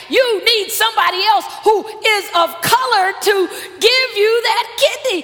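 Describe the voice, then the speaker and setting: High, bright-voiced woman pastor preaching. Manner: emphatic, calls out to congregation. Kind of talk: preaching and hosting a church panel. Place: church sanctuary. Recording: long hall reverb.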